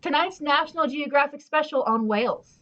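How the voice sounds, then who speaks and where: A woman's voice: high-pitched, moderate pace, fairly bright tone, muffled recording. A woman playing a bossy, gossipy housewife who lectures her friend like an amateur psychologist in a comedy audio drama. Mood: friendly, probing. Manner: pompous, chatty, self-assured.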